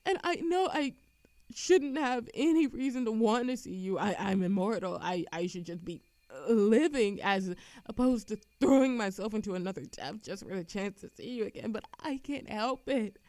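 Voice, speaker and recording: A woman's voice: medium pitch, expressive, darkish tone, no echo, lightly acted. Woman acting a lovesick, defensive immortal, close dry sound. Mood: desperate, tearful. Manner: halting, stammering, emotional. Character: lovesick immortal woman, defensive, reckless, confessing to a Grim Reaper. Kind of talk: audio roleplay monologue, acted intimately to the listener.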